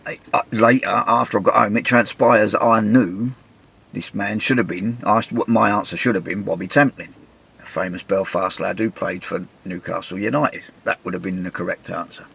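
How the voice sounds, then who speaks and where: Gravelly English man spinning a yarn. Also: fairly low pitch, fairly even intonation, normal speed, a bit bright, a little hiss or hum. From England. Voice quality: gravelly, husky. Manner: chatty, wry, self-mocking. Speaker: vlogger telling a travel story. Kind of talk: casual anecdotal storytelling.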